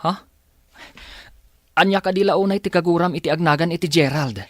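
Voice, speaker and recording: A man's voice: on the high side, moderate pace, no room sound, clean studio sound, natural voice. A man playing a lovesick, anxious young student in an audio drama, earnest and pleading in manner. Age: young adult. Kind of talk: radio-style audio drama acting.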